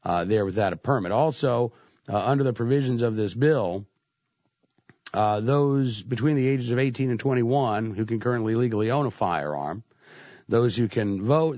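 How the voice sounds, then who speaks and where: A man's voice: mid-range voice, darkish tone, no echo, lo-fi sound. Congested male talk radio host. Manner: conversational, frequent "uh" fillers. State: congested with a cold. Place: radio studio. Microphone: studio microphone.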